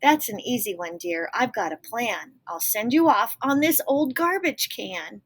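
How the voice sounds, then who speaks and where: Lady doing a sweet fussy old fairy godmother for a storybook, high sing-song voice. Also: animated, measured pace, husky. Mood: reassuring, brisk. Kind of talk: picture-book read-aloud.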